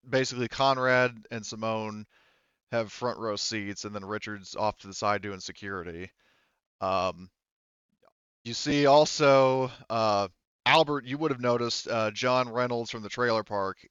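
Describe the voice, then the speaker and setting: Man, tabletop game master, halting improvised narration, self-correcting, dry and slightly muffled voice-chat audio. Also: medium pitch, a bit bright, smooth, no room sound.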